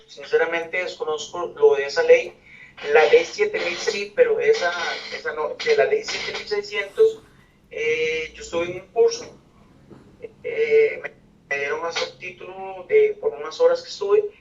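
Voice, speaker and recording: A man giving informal testimony as an interviewee, pausing and searching for words. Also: fairly high pitch, sing-song. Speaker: interviewee in a research project. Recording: echoey room, distant muffled mic.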